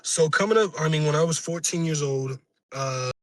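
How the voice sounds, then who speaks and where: A young man with a raspy voice, a musician being interviewed, chatting casually and earnestly in slang and profanity, often backtracking. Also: fairly high pitch, moderate pace, a bit bright, dry recording. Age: young adult.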